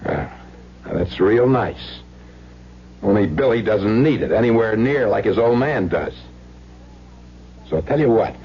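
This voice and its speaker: An actor playing a menacing, desperate gangster ex-husband who bullies in tough, threatening slang in an old-time radio drama. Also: male voice, on the low side, animated, unhurried, pauses often, breathy edge, full character voice. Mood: menacing, sly. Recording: muffled, noisy old radio recording with hum.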